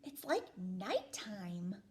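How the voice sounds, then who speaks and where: A woman voicing a gloomy sea creature in a children's picture book, murmuring complaints in drawn-out words. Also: mid-range voice, very animated, darkish tone, pitched below the performer's own voice. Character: gloomy sea creatures lost in dark seaweed.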